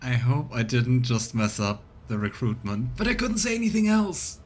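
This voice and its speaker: A man's voice: mid-range voice, big pitch swings, normal speed, a little hiss or hum. A man streaming a video game, commenting casually and reading the game's narration aloud. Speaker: let's play streamer. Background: game music.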